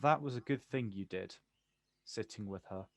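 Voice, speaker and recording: An actor playing a guarded but kind poet in a stage play, questioning and prone to stammer when unsure. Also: male voice, on the low side, normal speed, gravelly. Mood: amused, gentle. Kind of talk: stage play acting, quiet two-hander.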